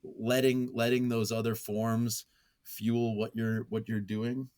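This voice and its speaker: A man's voice: mid-range voice, little pitch movement, slow, darkish tone, clean tone. A man talking casually and reflectively as an interview guest, hesitant and self-effacing as he thinks aloud. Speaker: podcast guest, nonfiction writer.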